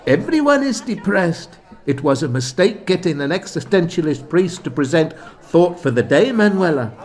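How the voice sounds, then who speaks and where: An actor playing an earnest, excitable village man and narrator in a scripted comedy radio play, with a theatrical manner. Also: on the high side, moderate pace. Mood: earnest, bemused.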